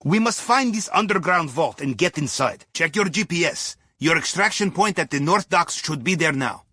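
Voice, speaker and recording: A jovial Russian submarine captain for a video game. His voice is bright and husky, recorded close and dry. He briefs his crew in a thick Russian accent, wry and conspiratorial, with folksy asides. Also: fairly high pitch, expressive, moderate pace, dry recording, full character voice. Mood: briefing, focused. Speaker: mission guide giving instructions. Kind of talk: video game mission dialogue.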